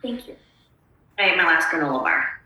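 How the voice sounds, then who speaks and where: A woman's voice: fairly low pitch, expressive, a little fast, sharp tone, recorded from a distance. A woman playing a prim, polite pregnant housewife turned exasperated kidnapper in a screenplay table read, scolding with good manners. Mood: prim insistence. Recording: roomy echo, away from mic.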